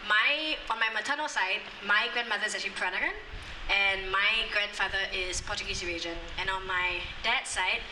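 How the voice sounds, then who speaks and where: A woman's voice: mid-range voice, a little fast, bright, forceful tone. Young woman poet, echoey and far. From Singapore. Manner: chatty explaining, filled with ums between recited poems. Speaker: spoken word poet. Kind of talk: poetry performance and chat. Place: outdoor courtyard. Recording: echoey, distant, noisy outdoor recording.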